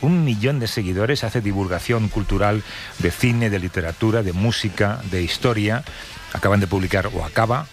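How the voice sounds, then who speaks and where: A man's voice: on the low side, moderate pace, soft background music. Wry joking male radio host. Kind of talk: casual comic radio banter.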